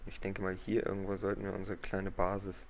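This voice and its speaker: A hoarse man with a cold talks through his game in a low, gravelly voice that stays flat and even. He rambles and thinks out loud. A steady hiss of noise sits under the recording. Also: fairly quick. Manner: relaxed, rambling, thinks aloud. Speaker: gaming channel host. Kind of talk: casual let's-play commentary. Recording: noisy home mic recording.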